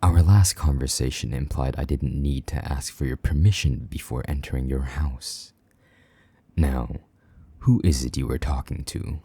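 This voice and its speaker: Man acting a very deep, dark-voiced possessive vampire knight. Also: no echo, exaggerated voice. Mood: suspicious, probing. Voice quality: husky, breathy, half-whispered at times. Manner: condescending, slow-burning menace, mock-sweet endearments. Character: possessive, jealous male vampire knight, haughty and menacing. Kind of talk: intimate audio roleplay acting.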